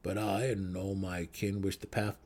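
A man voicing a grim, wary male deep gnome miner in a fantasy story reading, muttering in formal, old-fashioned phrasing. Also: level tone, moderate pace, a little mellow, slight background noise, natural voice. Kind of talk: fantasy audiobook character voice.

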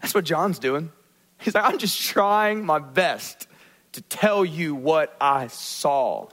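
Animated male pastor preaching. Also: on the high side, slow pace. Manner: dynamic preaching, asks the congregation questions, acts out quotes. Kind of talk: sermon. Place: church.

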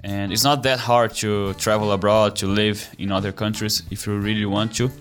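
Easygoing man, lowish voice. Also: flat delivery, moderate pace, soft background music. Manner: easygoing, lots of "like". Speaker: co-host. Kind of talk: casual interview chat.

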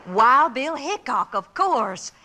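Lively, bright frontier sheriff's wife. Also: female voice, high voice, takes it slowly, exaggerated voice. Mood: friendly, flustered. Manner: homespun, hesitant. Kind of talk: 1950s radio western acting. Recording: noisy old-time radio recording.

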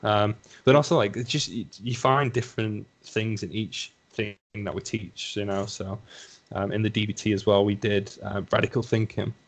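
A man's voice: fairly low pitch, fairly even intonation, normal speed, low-quality recording. A young man with a Lancashire accent chatting casually as a podcast guest, rambling and reflective, full of "you know.". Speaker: podcast guest, assistant psychologist. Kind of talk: casual conversation.

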